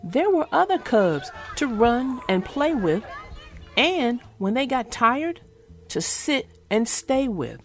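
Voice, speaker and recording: A woman reading a children's book aloud in an animated, expressive, sing-song way. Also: a little high, takes it slowly, faint music behind. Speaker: storyteller.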